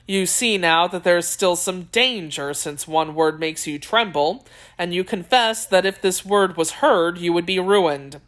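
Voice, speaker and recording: A woman's voice: fairly low pitch, unhurried, close to an everyday voice. A woman voicing an ardent, persistent young gallant in an audiobook, with a courtly, earnest manner. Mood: insistent. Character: ardent, jealous, persistent gallant young man under twenty.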